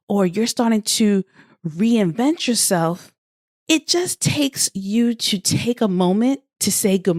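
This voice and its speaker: A woman delivers a scripted motivational podcast in a dry, close recording. Her pace is slow and deliberate, broken into short phrases, while her mid-pitched voice moves with plenty of melody. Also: a little mellow, no room sound. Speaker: podcast host and life coach.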